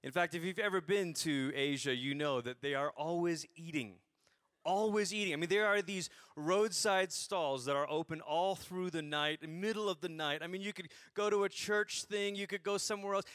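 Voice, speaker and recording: Bright-voiced man preaching with humor. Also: high voice, a little fast, no echo, studio quality. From Malaysia. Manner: humorous animated storytelling. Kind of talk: sermon.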